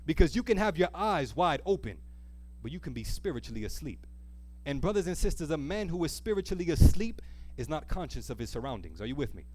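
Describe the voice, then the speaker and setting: Higher-pitched man preaching emphatically. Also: sing-song, a little mellow. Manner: emphatic, exhorting, pausing to question listeners. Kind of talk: sermon with scripture reading and call-and-response questions. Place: church service, live.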